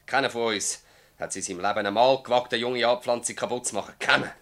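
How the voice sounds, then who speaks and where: A man's voice: mid-range voice, quick pace, fairly bright tone, lightly acted. A man playing a bitter, defiant master farmer in a radio drama, accusing and emphatic, with a Swiss German accent. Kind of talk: radio drama acting in dialect.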